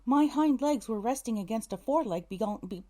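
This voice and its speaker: A woman's voice: a little high, lively intonation, normal speed, dark tone. A woman narrating a scripted story, breaking off to correct herself in exasperated asides. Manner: self-correcting, muttering exasperated asides between takes. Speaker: narrator recording an audiobook.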